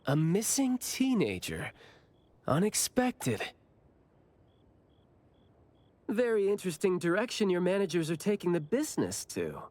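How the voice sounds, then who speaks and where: An actor playing a playful, teasing senior bureau officer in an anime game, with drawn-out, theatrical delivery. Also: medium pitch, huge pitch swings, darkish tone, dry recording. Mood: confident, easygoing.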